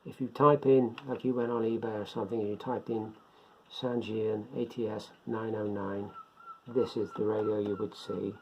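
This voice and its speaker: Older man with a gravelly, creaky voice. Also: lowish voice, little pitch movement, a little mellow. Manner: halting, unscripted, thinking aloud. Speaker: presenter of a beginners' radio hobby video. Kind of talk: casual product demonstration. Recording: dull lo-fi sound, faint background noise.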